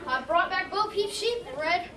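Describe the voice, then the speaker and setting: A woman's voice: extremely high pitch, animated, moderate pace, crowd noise. A woman playing a reformed, earnest Big Bad Wolf telling its story theatrically in a stage comedy. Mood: contrite. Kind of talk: youth stage comedy acting. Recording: echoey hall from far off, audience noise.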